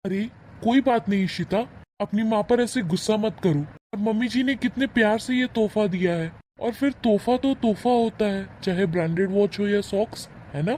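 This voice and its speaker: A man playing a gentle, forgiving, modest young husband in an animated moral story, speaking in a conciliatory way. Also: sits high, moderate pace, slight background noise, close to an everyday voice. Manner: soothing, conciliatory.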